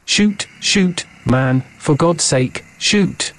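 A man's voice: medium pitch, expressive, moderate pace, a little mellow, no echo, close to an everyday voice. A man playing an old doctor who is commanding yet kindly, in a horror audiobook. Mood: urgent.

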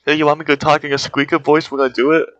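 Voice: medium pitch, flat delivery, fast talker, fairly bright tone, no echo, dull audio. A gamer chatting casually during play, teasing and joking with a friend. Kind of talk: casual chat while gaming.

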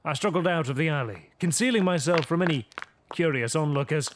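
A man's voice: on the high side, fairly quick, close to an everyday voice. A man playing a frightened young man who recounts his horror in theatrical, gothic first-person narration. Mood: grave, haunted. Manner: grave, theatrical storytelling.

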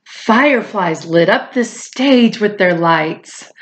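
A woman's voice: mid-range voice, slight background noise. A middle-aged woman reading a picture book aloud to children expressively, with chatty asides. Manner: expressive, drawn-out delivery addressed to young children. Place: small indoor room at home.

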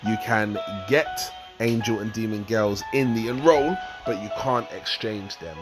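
Low-voiced croaky man explaining a game. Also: a little slow, over music. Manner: rambling, explains step by step with fillers. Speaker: gaming channel host. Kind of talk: casual game tutorial walkthrough.

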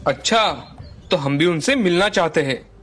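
A man playing a humble, respectful king in an animated folktale. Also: a little high, measured pace. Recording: music bed behind the voice.

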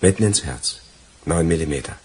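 Very husky, deep-voiced male villain. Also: expressive, moderate pace, darkish tone, exaggerated voice. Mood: cold, gloating. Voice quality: husky, rough. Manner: mocking, theatrical delivery. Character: cold-blooded, gloating male crook betraying his friend. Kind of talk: German crime radio drama acting.

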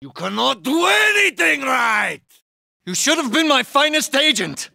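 An actor playing a cold, menacing Soviet military villain in a video game, with a Russian accent and a deliberate, commanding delivery. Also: male voice, very high-pitched, very animated, vocal fry, pushed and bright, raised voice, full character voice. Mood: angry, barking. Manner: slow, deliberate, commanding, threatening.

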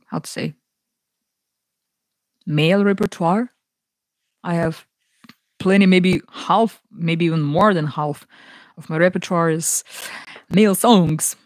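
A young woman with a naturally low voice, chatting casually with viewers between songs in a small home studio, speaking softly and haltingly into a close boom mic. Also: dry recording. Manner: soft, halting, many fillers. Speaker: singer hosting a live music stream. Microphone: large broadcast mic on a boom arm, close.

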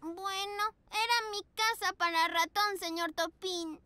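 Tiny girl pup, squeaky high, slow and earnest, cartoon music going loud. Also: fairly even intonation, no room sound, exaggerated voice. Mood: sad. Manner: earnest, childlike, talks to her toy mouse. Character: cheerful little girl puppy, shy and eager. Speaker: main character. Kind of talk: cartoon dubbing.

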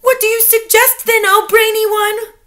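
A shrill chorus of witches, as a woman puts them on, squealing high and bright. They gush and clamor with fawning eagerness, with wildly swinging pitch, like a storybook read aloud. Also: big pitch swings, measured pace, heavily acted. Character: shrill excited crowd of witches.

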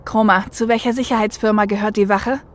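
A woman playing a seasoned, protective female runner in an audio drama, with measured, matter-of-fact delivery. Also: mid-range voice, steady tone, slight background noise, close to an everyday voice. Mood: businesslike. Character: seasoned female shadowrunner getaway driver, protective older sister.

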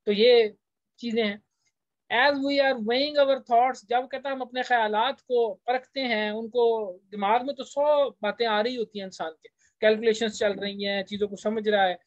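A man giving an informal lecture as a teacher, explaining point by point and slowing down for English phrases. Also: high-pitched, steady tone, no echo. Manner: explanatory, slows down when reading English phrases. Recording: dull, lo-fi close recording.

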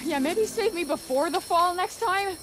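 A woman's voice: very high-pitched, animated, normal speed, music in the background. An actress playing a sardonic young New Yorker woman in a video game, with an American accent and blunt, slangy delivery. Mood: flustered. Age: young adult. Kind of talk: video game cutscene acting.

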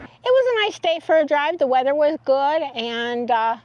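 A woman's voice: high voice, sing-song, normal speed, dull audio. An older American woman casually recounting a trip as a travel vlog host, speaking outdoors in the open air.

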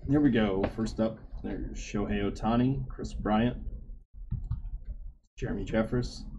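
Gravelly slow-talking man reading names. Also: dark tone, a little hiss or hum. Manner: reads names in a low running murmur. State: slightly hoarse. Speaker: card break host. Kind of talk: live card-breaking stream. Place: small card shop studio.

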